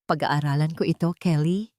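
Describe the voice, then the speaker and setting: A woman voicing a calm, doubtful, wealthy businessman in a narrated story. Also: low-pitched, moderate pace, dry recording, studio quality, natural voice. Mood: guarded. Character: wary, calm, wealthy businessman, arrogant and shrewd.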